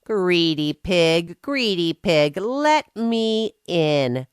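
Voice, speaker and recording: A wicked storybook wolf, put on by a woman, hungry and menacing. The taunts are huffed out slowly, with big sing-song swings in pitch. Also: sing-song, smooth, no room sound, studio quality, heavily acted. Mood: taunting. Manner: huffing, drawn-out taunting.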